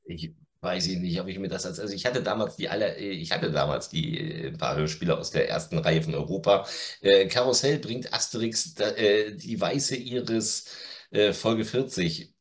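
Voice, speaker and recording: A middle-aged man with a fairly low voice. He talks casually, like a reviewer in a chat, and gives his opinions bluntly and directly. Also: normal speed. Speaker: audio drama reviewer, guest. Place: home room with media shelves. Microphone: video call.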